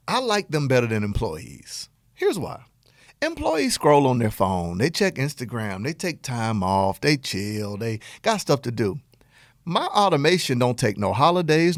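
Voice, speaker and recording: Animated Southern American man coaching. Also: medium pitch, no echo, studio quality. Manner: energetic, animated, folksy with jokes and laughs. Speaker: business coach. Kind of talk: direct-to-camera teaching talk.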